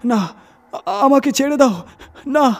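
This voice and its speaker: A man's voice: very high voice, a little mellow, voice pushed up. A man voicing a terrified young man in a horror story, drawing out his words in fearful pleading. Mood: frightened. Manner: frightened, drawn-out pleading. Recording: distant, off-mic sound.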